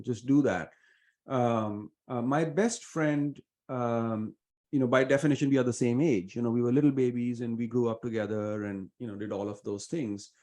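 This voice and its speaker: A middle-aged man from India with an Indian accent, giving earnest, measured interview answers with many fillers. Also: mid-range voice, a little mellow. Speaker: venture investor guest.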